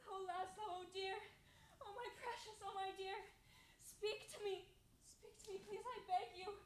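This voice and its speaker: A woman's voice: extremely high pitch, steady tone, normal speed. A woman playing a tearful, devoted young woman in a staged play, pleading melodramatically. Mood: frantic, pleading. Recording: echoey room, faint noise.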